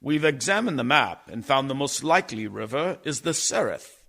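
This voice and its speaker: A man's voice: medium pitch, lively intonation, normal speed, vocal fry, fairly bright tone. An actor playing an earnest, anxious young man narrating his journal in a radio play. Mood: grave.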